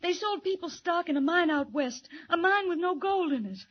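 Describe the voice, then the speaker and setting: A woman's voice: extremely high pitch, animated, normal speed. An actress playing a frightened but spirited young secretary in a 1940s radio drama, telling her story urgently. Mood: anxious. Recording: dull old radio transcription.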